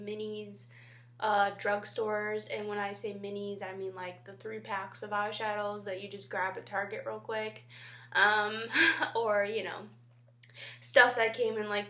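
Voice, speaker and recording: A woman's voice: a little high, pushed and bright, echoey room. A young woman with a stuffy nose from a head cold, chatting casually and rambling to camera about makeup. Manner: chatty and rambling, many ums. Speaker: beauty vlogger.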